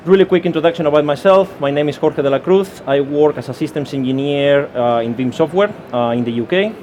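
A man's voice: a little high, a little fast, darkish tone, slight background noise. A man from Spain with a Spanish accent, giving a technical conference talk with many fillers and restarts. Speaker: conference speaker. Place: conference session room.

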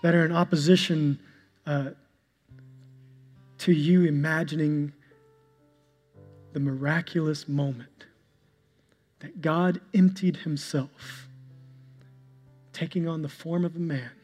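Male pastor, high voice, even preaching delivery, long church reverb, soft instrumental music underneath. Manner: calls himself monotonous and boring-voiced; preaching, shifts to a soft, slow prayer. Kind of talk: sermon. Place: church sanctuary.

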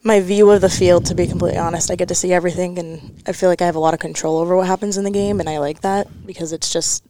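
A young woman from New Hampshire, a college softball catcher, answering in a relaxed, hesitant way with many likes and ums. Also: on the low side, fast talker, background noise. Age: young adult. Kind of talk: interview answers.

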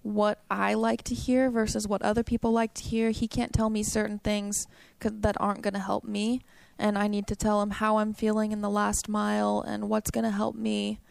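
A young woman answers questions about her running with a gravelly, creaky voice. Her pitch stays flat and even while she chats on easily. The room sounds dry and close. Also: normal speed, no echo. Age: young adult. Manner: chatty, upbeat, frequent "um" and "like". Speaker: college cross country runner. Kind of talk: interview answers.

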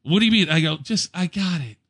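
A man who works as a DJ, chatting casually and telling stories in an animated way, acting out exchanges. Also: high-pitched, quick pace. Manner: animated storyteller, acts out exchanges. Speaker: event DJ. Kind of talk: casual anecdotal chat.

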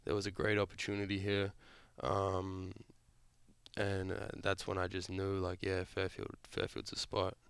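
A young man with an Australian accent, a guest on a podcast, answering in a relaxed, halting way with lots of fillers. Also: low-pitched, vocal fry, clear, resonant tone, no room sound. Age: young adult. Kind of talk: podcast interview.

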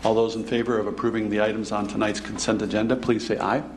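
A man chairing a public board meeting, running the agenda in a brisk, procedural way. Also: fairly low pitch, little pitch movement, slight background noise.

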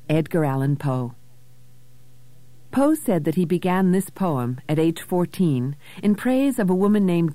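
A woman narrator delivering scripted literary commentary in a measured, literate way. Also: low voice, dark tone, no room sound. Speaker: narrator introducing poetry.

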